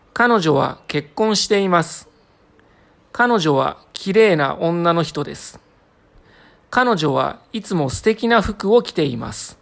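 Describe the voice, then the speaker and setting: A woman's voice: fairly low pitch, vocal fry. A woman from Japan reading short lesson passages aloud carefully, as a native speaker for learners.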